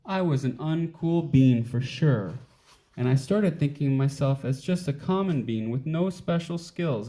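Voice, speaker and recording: A man voicing a wistful, self-deprecating uncool young bean in an expressive children's storybook reading. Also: medium pitch. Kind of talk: children's picture book read aloud.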